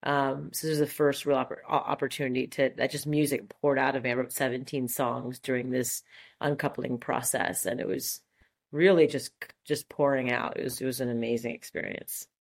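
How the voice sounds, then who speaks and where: A woman's voice: very deep voice, fairly even intonation, brisk, gravelly. A woman in her forties from Montreal, a native French speaker, talking enthusiastically as an interview guest with many fillers and restarts. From Montreal, Canada. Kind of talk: casual interview conversation.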